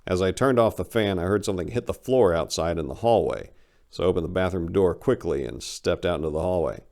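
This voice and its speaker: A man's voice: low voice, fairly quick, creaky, darkish tone. A man giving a dramatic reading of a first-person short story, with a theatrical, exasperated delivery. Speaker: story reader.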